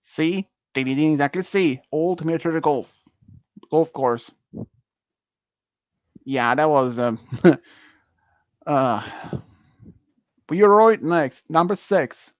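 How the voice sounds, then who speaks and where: A man in his early thirties, speaking English as a second language, hosts a casual quiz. His voice sits a little high and he talks slowly, stopping and restarting as he searches for words. The sound is close and dry. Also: no room sound, highs cut off. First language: not English. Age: early 30s. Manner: slow, halting, many restarts and fillers. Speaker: quiz host. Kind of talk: casual stream quiz chat.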